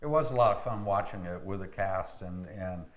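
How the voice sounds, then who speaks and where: Older american guy chatting, lowish voice, roomy echo, repeats himself as he goes. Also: normal speed. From Memphis, United States. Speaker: actor in a community theatre, interview guest. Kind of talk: casual chat. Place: indoor room, television interview. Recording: echoey room.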